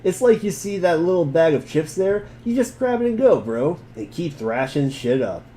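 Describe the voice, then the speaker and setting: A man's voice: fairly high pitch, sing-song, normal speed, mellow, a little hiss or hum. A man narrating an amateur group dramatic reading in a jokey, theatrical way. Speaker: narrator and reader.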